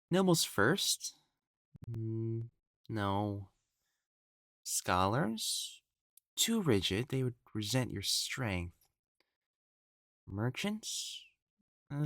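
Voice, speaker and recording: A man playing an elegant, proper matchmaker in a dramatic audio roleplay, speaking formally and haltingly as he hides his jealousy. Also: medium pitch, exaggerated voice. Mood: searching, dismissive. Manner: formal, halting, stammering when flustered.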